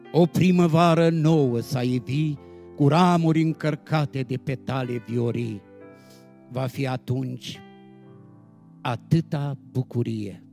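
A man reciting devotional poetry solemnly and expressively, with dramatic phrasing and deliberate pauses. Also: on the high side, a little mellow. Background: instrumental music.

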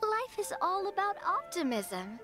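An actress voicing a sociable, forthright young lady in an anime video game, chatty and expressive. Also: extremely high pitch, a little slow, over music, exaggerated voice. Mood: cheerful.

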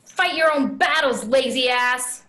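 A woman's voice: high voice, unhurried, fairly bright tone. A woman playing a fierce, taunting female villain in a table read over a video call. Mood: scornful, menacing.

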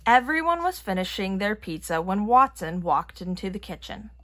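A woman reading a children's picture book aloud in an expressive manner. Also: medium pitch, moderate pace. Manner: expressive, acting out characters' lines. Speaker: storybook reader.

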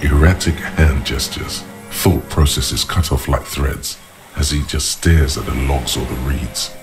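Super deep ominous narrator man, grave and deliberate, game storytelling, music behind him. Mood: grave, analytical. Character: omniscient inner-voice narrator, grave, observant, ominous. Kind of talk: dramatic literary game narration.

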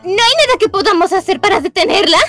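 A woman's voice: extremely high pitch, expressive, fast talker, fairly bright tone, faint music behind. A woman voicing a spirited, stubborn young detective in an emphatic, dramatic game fan dub. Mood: anxious, insistent. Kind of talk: live fan dubbing of a visual novel game.

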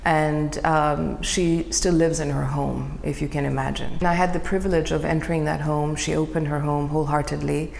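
A middle-aged woman from Iran with a low, gravelly voice talks about her work in a slow, reflective way, often pausing and restarting to find the right words. She is recorded in a roomy space with a long echo tail and a faint background hum. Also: level tone, a little mellow. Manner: thoughtful, searching for words, many fillers and restarts. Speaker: photographer. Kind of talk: reflective interview monologue.

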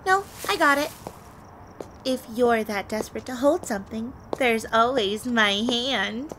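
Casting: actress as playful, flirty female vampire for audio roleplay; bright voice, unhurried, teasing delivery. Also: on the high side, slight background noise. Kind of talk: intimate audio roleplay acting addressed to the listener.

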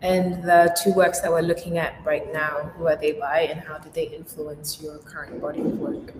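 A woman who manages development at a gallery, interviewing an artist with brisk, encouraging prompts. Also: low voice, little pitch movement, a little mellow. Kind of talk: conversational interview. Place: gallery showroom, indoors. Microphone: video call. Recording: roomy echo with faint noise.

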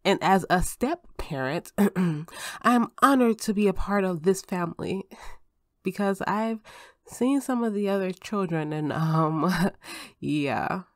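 A woman playing an affectionate, reassuring spouse and step-parent in an ASMR roleplay, speaking in a gentle, soothing, tender manner. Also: on the low side, lively intonation, slow pace, dry recording, clean studio sound. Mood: warm, encouraging.

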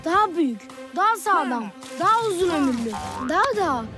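A perky childlike cartoon character, talks in an extremely high voice. The delivery is showy and stubbornly insistent, made for children's animation. Music plays clearly behind it. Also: female voice, big pitch swings, a little fast. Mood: showy, excited.

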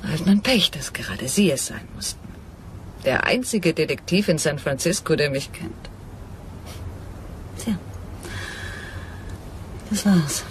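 A woman's voice: low-pitched, expressive, takes it slowly, long pauses, a little hiss or hum. A woman playing a seductive, scheming femme fatale in a crime radio play, with a languid, confiding delivery. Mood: confiding, resigned.

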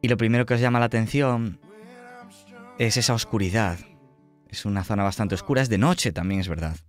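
A man with an accent from Spain, narrating a tabletop roleplaying game as game master in a measured, descriptive way. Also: fairly low pitch. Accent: Spanish (Spain). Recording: music behind the voice.

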